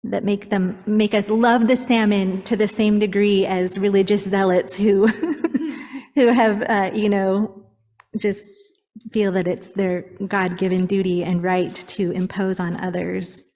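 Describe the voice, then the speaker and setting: A woman poet speaks thoughtfully on a panel, circling back with restarts and the odd wry aside. Her mid-pitched voice carries a long hall reverb behind it. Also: fairly even intonation, slow pace, a little mellow. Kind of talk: reflective panel talk.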